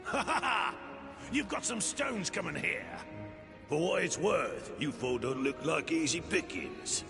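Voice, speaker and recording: An actor playing a rough, mocking pirate captain in a video game, gravelly and theatrical, full of pirate slang. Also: male voice, on the high side, big pitch swings, unhurried, over music, heavily acted. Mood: boisterous, mocking. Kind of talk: video game cutscene acting.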